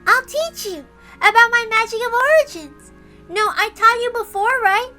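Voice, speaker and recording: Woman doing a really high little witch girl, bright and eager to explain stuff, visual novel read. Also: moderate pace. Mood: earnest, reassuring. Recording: music behind the voice.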